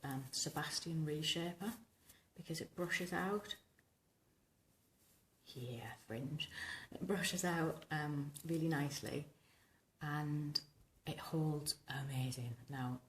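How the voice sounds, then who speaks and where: Very low, hoarse, smoky-voiced woman vlogger. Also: reverberant, a little hiss or hum. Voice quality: husky, rumbling, smoky voice from tonsillitis. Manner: rambling, informal, chatty. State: recovering from tonsillitis, hoarse. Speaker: vintage hairstyling vlogger. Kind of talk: casual tutorial chat to camera. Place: small domestic bedroom, indoors.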